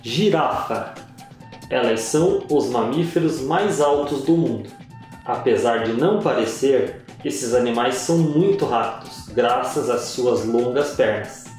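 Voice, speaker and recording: Slow echoey male narrator. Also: medium pitch, animated, a little mellow. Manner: slow, measured reading with pauses. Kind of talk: scripted educational narration. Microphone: voice-over. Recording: very echoey voice-over with music.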